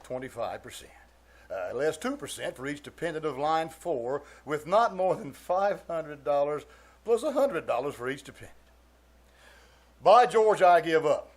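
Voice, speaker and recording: A man's voice: fairly high pitch, a little mellow. Man playing a blustery, swooping, pompous uncle. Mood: exasperated. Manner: pompous, flustered, exclaiming and hemming. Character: blustery, pompous middle-aged uncle in a comedy. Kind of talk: 1940s radio comedy acting, performed live. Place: live stage performance in a theater.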